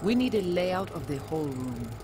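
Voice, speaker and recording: An actor playing a terse, secretive gang fixer in a video game, giving curt orders. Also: male voice, sing-song, normal speed. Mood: instructing. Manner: curt, commanding, clipped non-native phrasing. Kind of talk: video game dramatic acting. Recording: noisy ambience, vehicle-like rumble.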